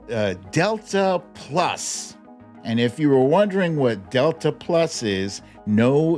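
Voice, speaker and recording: A man hosts a casual livestream of political news commentary over background music. His pitch swings in a very lively way while his pace stays a little unhurried. He is wry and informal, with chuckles slipping into his talk. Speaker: live show host.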